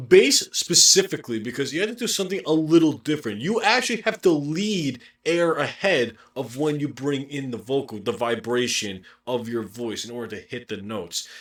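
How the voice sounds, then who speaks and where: A man co-hosting a reaction video, chatting casually, animated and laughing often as he explains singing technique. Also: medium pitch, distant mic. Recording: roomy sound, away from the mic.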